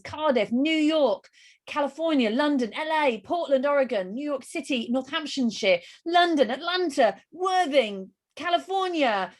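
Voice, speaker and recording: Woman, middle-aged, webinar host, enthusiastic, fairly high voice, video call mic. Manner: enthusiastic, gushing, rattles off lists quickly. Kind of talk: upbeat live webinar hosting.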